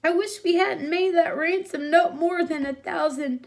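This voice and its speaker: A woman's voice: very high voice, measured pace, voice pushed up. A woman voicing an exasperated, beleaguered grown male crook with pompous, folksy wording in a storybook reading. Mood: alarmed, pleading. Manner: pompous, wordy, folksy speech.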